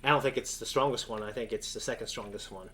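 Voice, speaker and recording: A man's voice: on the low side, fast talker, crowd noise. A man co-hosting a casual fan discussion, talking in a rambling, enthusiastic way. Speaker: co-host of a reaction show.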